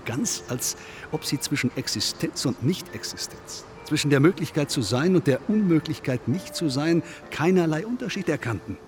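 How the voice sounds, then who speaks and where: A man's voice: a little high, animated, moderate pace, a little hiss or hum, close to an everyday voice. An actor as a pompous, swooping philosophizing man. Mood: grandly pondering. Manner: pompous, long-winded, declamatory. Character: self-absorbed pondering male congress guest, pompously philosophical. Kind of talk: German radio play acting.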